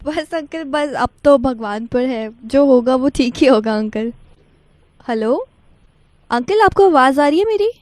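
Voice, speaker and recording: High-voiced polite young woman on phone. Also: expressive, unhurried, a little mellow, dry recording. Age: young adult. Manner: polite, deferential. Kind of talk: casual phone conversation.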